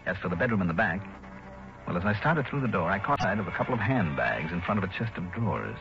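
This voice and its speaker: An actor playing a brusque, wry insurance investigator, who also narrates in the manner of a 1960s radio detective drama. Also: male voice, low-pitched, big pitch swings, fast. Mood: narrating. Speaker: series lead and narrator. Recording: dull vintage radio recording, music behind.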